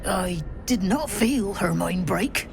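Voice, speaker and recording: An actress playing a cold, serene cult torturer in a video game, deliberate and detached. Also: female voice, mid-range voice, expressive, vocal fry. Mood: serene, sinister. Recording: background music.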